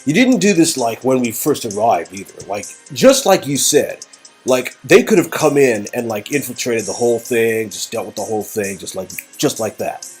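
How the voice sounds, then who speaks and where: A man's voice: mid-range voice, expressive, moderate pace, a little mellow, husky, faint music behind, pitched above the performer's own voice. A man playing the sarcastic, exasperated hero of a story game, rambling and repeating words. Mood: betrayed, sarcastic.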